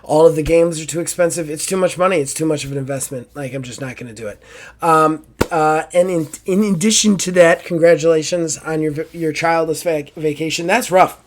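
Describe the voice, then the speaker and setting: Hoarse, joking man hosting a podcast. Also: fairly high pitch, a little fast. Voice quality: raspy, strained throat. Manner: joking, profane, self-mocking. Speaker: podcast host. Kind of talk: casual podcast chat.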